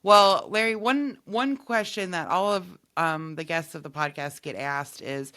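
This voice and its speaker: A woman's voice: fairly low pitch, a little slow, sharp tone. A woman hosting a podcast in casual comedic chat, with a playful, jokey manner and a readiness to laugh.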